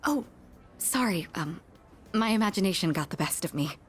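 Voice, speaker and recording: A woman performs a polite, well-bred young woman from a video game, with a lively, melodic delivery. She is earnest and naively curious, choosing formal words and the odd French phrase. Faint music plays behind her. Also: lowish voice, normal speed, natural voice. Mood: earnest, unsure.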